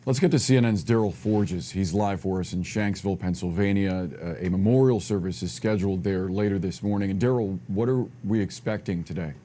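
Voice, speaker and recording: A man's voice: sits low, a little fast, few pauses, warm tone. A man anchoring a broadcast news programme.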